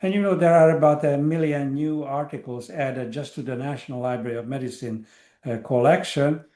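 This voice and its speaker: An older man from Hungary giving an academic lecture over a video call, pausing often with fillers and restarts. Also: medium pitch, fairly even intonation, warm tone, reverberant. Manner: hesitant, many uh fillers and restarts. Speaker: guest lecturer.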